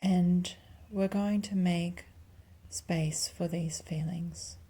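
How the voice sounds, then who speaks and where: A woman leading a guided meditation softly and calmly, with long pauses and a breathy, near-whispered delivery. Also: on the low side. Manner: soft, calm, unhurried instruction with long pauses. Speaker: clinical psychologist guiding a meditation.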